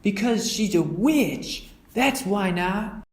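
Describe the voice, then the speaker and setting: A man voicing an excitable, bossy young boy bear cub in a children's storybook, eager and sure of himself. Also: high voice, slow pace, echoey room, pitched above the performer's own voice. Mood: spooked, insistent.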